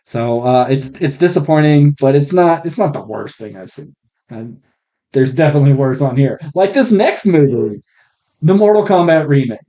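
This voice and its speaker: A man's voice: fairly high pitch, animated, moderate pace, dark tone. A man co-hosting a podcast in casual movie review chat, blunt, sweary and rambling. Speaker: podcast co-host. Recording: muffled, rough faulty podcast mic.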